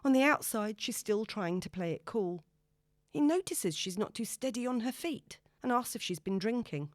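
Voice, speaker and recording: A woman's voice: fairly high pitch, expressive, moderate pace, dry recording, studio quality. A woman reading scripted novel narration as an audiobook narrator.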